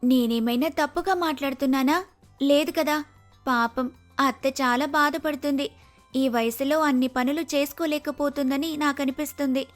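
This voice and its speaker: A woman voicing a cheerful, respectful young woman in an animated moral story. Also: high-pitched, moderate pace, soft background music, natural voice. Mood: eager, persuading. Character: brave, cheerful, respectful young woman, a helpful house guest.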